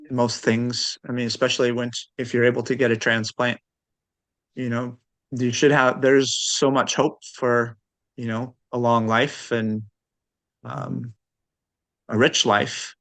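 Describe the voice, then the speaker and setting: A man with a medium-pitched voice answers as a guest in a casual conversation. He is thoughtful and hesitant, feeling his way through each point and hedging. The recording is dry and close. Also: level tone, takes it slowly, long pauses, fairly bright tone, dry recording. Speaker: interview guest, transplant recipient.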